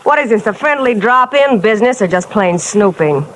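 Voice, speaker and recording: A cool, sharp-tongued young wife played by an actress in a vintage radio mystery. Her clipped, sardonic lines sit at a middle pitch. Faint hiss lies underneath. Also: expressive, moderate pace, lightly acted. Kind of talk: 1950s radio drama acting.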